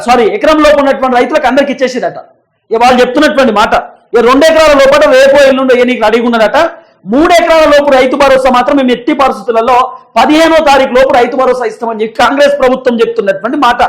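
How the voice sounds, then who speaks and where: A man's voice: very high voice, poor audio. A young man with a Telangana Telugu accent presenting news commentary straight to viewers in an emphatic, repetitive, insistent manner.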